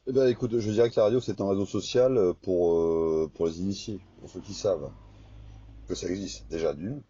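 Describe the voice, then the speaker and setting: Very deep-voiced man in casual radio chat. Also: brisk, dark tone, slight background noise. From France. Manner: rambling, hesitant, colloquial, often ending on "quoi" and "hein". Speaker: radio amateur operator. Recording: slightly dull, lo-fi radio-chat recording.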